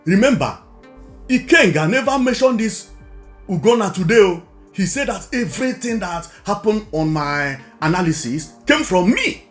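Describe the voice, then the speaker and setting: Animated, high-pitched Nigerian man commentating. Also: normal speed, soft background music. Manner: emphatic, repetitive, rhetorical questions. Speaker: online video commentator. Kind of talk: informal political commentary, repeating and explaining points.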